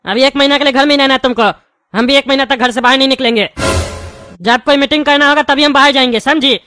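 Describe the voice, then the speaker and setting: A man's voice: extremely high pitch, steady tone, quick pace, pushed and bright, no echo, studio quality, soft background music. A man voicing a blustering cartoon politician and strict father, with emphatic, repetitive rustic speech. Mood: stern, warning. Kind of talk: comic cartoon dialogue.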